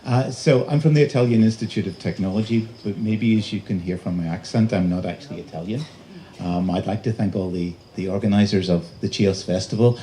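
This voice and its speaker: A man giving a public lecture as an invited speaker, presenting slides in a halting, pausing delivery. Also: low voice, warm tone. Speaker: invited conference speaker, robotics researcher. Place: live talk at a festival venue. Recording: noisy live event recording.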